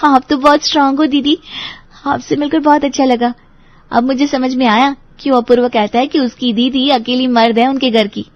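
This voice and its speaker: A woman's voice: high voice, fairly even intonation, normal speed, no room sound, lo-fi sound. A woman playing an anxious, earnest young bride-to-be in an audio story, with a girlish manner. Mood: admiring. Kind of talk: Hindi audio story acting.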